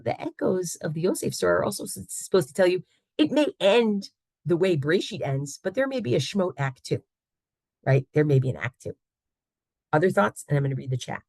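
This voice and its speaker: Husky, gravelly woman teaching online. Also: deep voice, dry recording. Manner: lively, asks "right?" often. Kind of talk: online Torah class. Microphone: video call.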